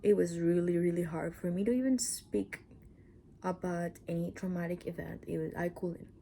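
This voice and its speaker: A woman with a Mexican accent talks in a loose, rambling vlog. Her voice is mellow with a gravelly edge, and she sometimes sinks into a whisper. Faint noise hums behind her. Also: lowish voice, fairly even intonation, moderate pace. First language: Spanish. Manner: chatty, rambling, restarts and asides. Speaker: channel host. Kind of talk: casual personal vlog.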